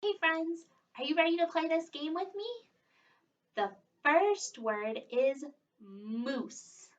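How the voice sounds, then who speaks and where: Slow, high-voiced woman teaching young children. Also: animated. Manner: encouraging, exaggerated child-directed delivery with pauses for answers. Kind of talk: read-aloud and interactive lesson for young children. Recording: dull, distant microphone sound.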